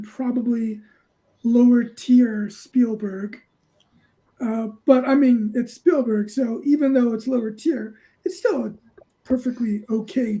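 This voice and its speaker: A woman giving a measured opinion in a casual movie chat, pausing between phrases. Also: fairly high pitch, mellow, low-quality recording. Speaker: panelist.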